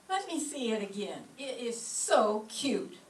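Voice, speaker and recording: A woman's voice: a little high, full character voice. A woman playing a kindly, absent-minded landlady with a fond, scatterbrained manner in an old-time radio comedy, recorded from a distance in a roomy space. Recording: distant roomy stage pickup, faint noise.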